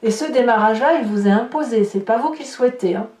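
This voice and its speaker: A woman's voice: on the high side, fast, a little mellow. A woman hosting an informal tarot reading, addressing viewers and thinking aloud in chatty, hesitant asides. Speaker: tarot reader, channel host. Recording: distant mic, roomy echo, noticeable hiss.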